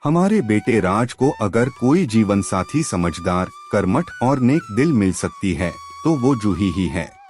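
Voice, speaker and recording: A dignified, kindly male village headman in a cartoon storybook, put on by a woman narrator. The voice sits far below her own, with a lively rise and fall. He speaks formally, with quiet respect. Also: a bass voice, a little slow, few pauses, faint music behind, voice pushed down, heavily acted. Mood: warm, earnest.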